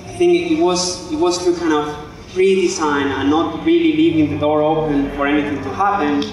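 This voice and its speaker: A man's voice: a little high, level tone, normal speed, slight background noise. Male guest lecturer, thirties, Spanish accent, hesitant phrasing, heard somewhat distantly through a heavily echoing stage PA. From Spain. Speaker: guest lecturer, architect and educator. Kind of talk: informal public lecture. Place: open-sided stage before an audience. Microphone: handheld microphone through a PA. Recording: very echoey, distant from the microphone.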